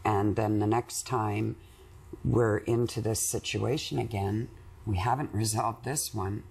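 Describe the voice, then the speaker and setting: Husky, gravelly middle-aged woman. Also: a bass voice, fairly even intonation, measured pace, a little mellow. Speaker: client in couples therapy interview. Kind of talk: candid personal conversation. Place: small indoor TV studio living-room set.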